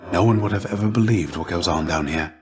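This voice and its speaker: A man voicing a weary, gentle fallen Greek warrior as a fatherly mentor in a video game, speaking in a measured, formal, old-fashioned and earnest way. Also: sits low, soft background music, natural voice. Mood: warm, confiding. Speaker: voice actor. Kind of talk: video game character dialogue, measured and theatrical.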